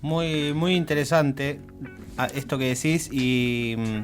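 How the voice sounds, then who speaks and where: A man hosting a radio talk show, chatting casually in a tentative, halting way. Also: mid-range voice, faint music behind. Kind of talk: casual conversational interviewing. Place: radio studio.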